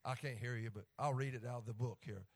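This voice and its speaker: A man's voice: medium pitch, fairly even intonation, fast talker. A man with an Appalachian accent preaching a sermon in a folksy, joking way that rises to fervent emphasis when he reads scripture.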